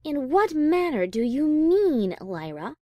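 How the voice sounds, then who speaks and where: A woman acting a haughty, easily exasperated high-class pony in a fan audio drama, prim and wordy. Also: very animated, slow, mellow, no echo, full character voice. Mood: indignant.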